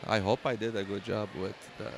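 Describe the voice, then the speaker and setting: A man's voice: on the low side, level tone, a little fast, vocal fry, a little hiss or hum. A man from Romania with a Romanian accent, talking hesitantly about his build, with many fillers and restarts, in a convention hall interview. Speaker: LEGO builder and exhibitor. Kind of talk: casual interview.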